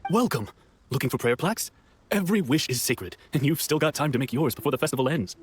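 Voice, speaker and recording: A man's voice: mid-range voice, expressive, fast, very noisy, natural voice. A man voicing a courteous, helpful festival stall vendor in a video game, polite and matter-of-fact.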